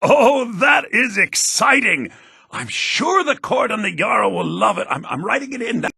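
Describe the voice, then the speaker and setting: An actor playing an earnest, theatrical head bard in a fantasy video game, speaking formally with a slightly raspy voice. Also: male voice, high voice, big pitch swings, measured pace, pushed and bright, no echo, clean studio sound, exaggerated voice. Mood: amused, excited. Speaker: game character, bard college leader.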